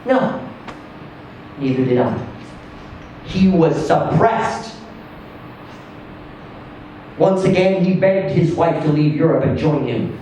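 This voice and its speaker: A man reading a literary short story aloud in a theatrical, emphatic manner, with deliberate pauses and swells. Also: a little high, expressive, dark tone. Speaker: story reader. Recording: distant echoey room with faint noise.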